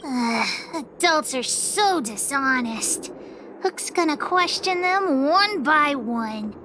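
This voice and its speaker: A woman's voice: very high-pitched, big pitch swings, unhurried, background noise, full character voice. An actress voicing a feisty, bossy little girl in a video game, with a brash childlike manner. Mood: determined. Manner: brash, childlike, third-person self-talk. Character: feisty, bossy little girl, self-styled gang leader. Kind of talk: animated video game dialogue.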